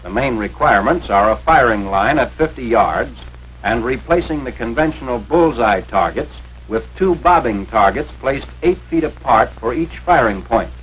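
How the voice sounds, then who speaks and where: A man's voice: medium pitch, lively intonation, moderate pace, fairly bright tone. An American man delivering authoritative, instructional 1940s military training film narration in formal, clipped phrasing. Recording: noisy vintage 1940s film soundtrack.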